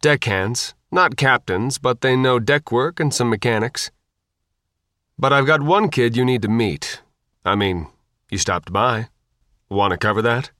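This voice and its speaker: A man's voice: mid-range voice, measured pace, pushed and bright, dry recording, clean studio sound. Man voicing a laconic Coast Guard petty officer. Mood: matter-of-fact. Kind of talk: audiobook character dialogue.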